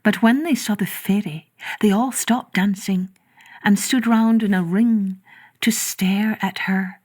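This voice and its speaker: A woman storyteller reading a children's tale expressively, with gentle, drawn-out phrasing and touches of breathy whisper. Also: medium pitch, steady tone.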